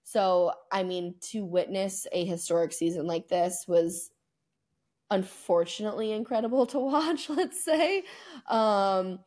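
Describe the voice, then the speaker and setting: A woman's voice: mid-range voice, measured pace, a little mellow. A young adult woman chatting casually about sport as a podcast co-host, informal and emphatic. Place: indoor home room on a video call.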